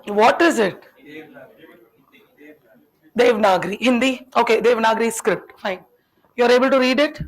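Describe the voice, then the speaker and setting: A woman in a classroom who grew up speaking Tamil, giving a lecture to her students. Her voice sits a little high, and she keeps asking questions. She talks in a chatty, teasing way. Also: moderate pace, pauses often, low-quality recording. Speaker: teacher.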